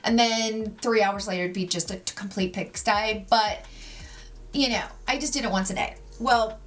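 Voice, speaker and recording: A woman in her forties talking through a makeup tutorial at home, with gossipy, animated storytelling and lots of "like"s. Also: medium pitch, normal speed. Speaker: beauty vlogger. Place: home, sitting at a makeup table.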